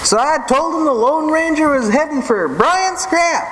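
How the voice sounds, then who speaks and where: An actor playing a folksy, excitable ranch hand with a rustic cowboy drawl in a live old time radio western. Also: high voice. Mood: folksy, welcoming. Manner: slow, folksy, colloquial. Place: live theatre stage. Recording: echoey hall, clearly noisy live stage recording.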